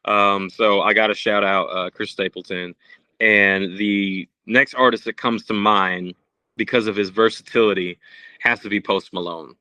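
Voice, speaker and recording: A man's voice: fairly low pitch, fairly even intonation, normal speed, sharp tone, no echo. A man from Puerto Rico, a musician, chatting casually and earnestly as a podcast guest. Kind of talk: casual interview chat.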